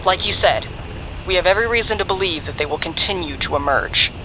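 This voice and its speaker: An actress playing a brisk National Guard general in an audio drama, direct, controlled and authoritative. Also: female voice, on the low side, noisy background, natural voice. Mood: firm, grave. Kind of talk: audio drama dialogue over radio.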